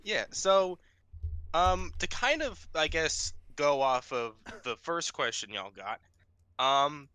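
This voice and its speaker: A man casually putting a question to an online panel in a halting, jokey way. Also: a little high, animated, bright, forceful tone, clear, resonant tone. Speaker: audience member asking a question. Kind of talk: casual chat. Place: online panel over voice call.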